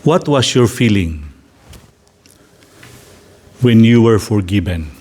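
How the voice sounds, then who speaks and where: A man with a Filipino English accent, a priest leading a slow, gentle guided reflection for a gathering. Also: on the low side, lively intonation, slightly rough. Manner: slow, deliberate, gently inviting.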